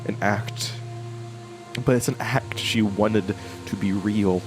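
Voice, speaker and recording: A man playing a brooding, haunted narrator in a horror audio drama, with a grave, halting and reflective delivery. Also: lowish voice, fairly even intonation, over music. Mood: somber, reflective.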